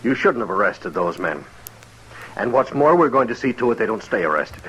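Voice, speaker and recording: A defiant western heavy speaks in a very deep voice, with insolent deliberation. The sound is that of old radio drama, with a light layer of noise. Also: male voice, sing-song, moderate pace. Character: defiant, menacing male troublemaker facing down a marshal. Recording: noisy old-time radio recording.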